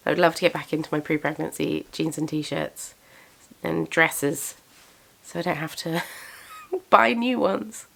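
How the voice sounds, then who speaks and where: Chatty woman with a gravelly voice. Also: lowish voice, fairly bright tone. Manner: chatty, self-deprecating, with frequent fillers. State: congested from a cold. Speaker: craft business owner and vlogger. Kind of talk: casual chat to camera.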